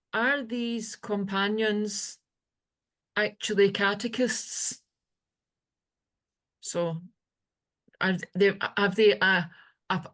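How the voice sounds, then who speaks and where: Scottish woman asking a question on a call, slow, careful, rephrasing as she goes. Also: medium pitch. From Scotland. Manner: measured, searching for words, rephrasing questions. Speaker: webinar participant asking a question. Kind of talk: conversational question on a webinar.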